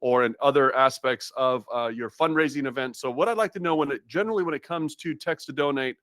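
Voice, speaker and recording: A man's voice: mid-range voice, fast talker, fairly bright tone, dry recording. Guy hosting a webinar, chatty and upbeat, lots of ums, dry close mic. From Massachusetts, USA. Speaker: webinar host. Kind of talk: live webinar presentation.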